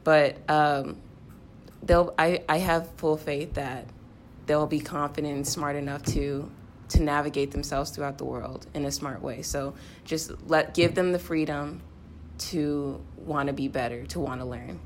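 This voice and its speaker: Female podcast participant. Deeper pitch, sincere, feeling out her phrasing, faint room noise. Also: fairly even intonation, unhurried. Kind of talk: reflective group conversation.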